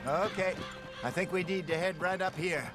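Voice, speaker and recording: A seasoned old American outlaw played by a male actor, fatherly and wry, talks in a fairly high voice. His folksy Western game dialogue sits over loud music and horse hooves. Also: moderate pace, natural voice. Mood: easygoing. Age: older. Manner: folksy, conversational. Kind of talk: video game voice acting, Western drama dialogue.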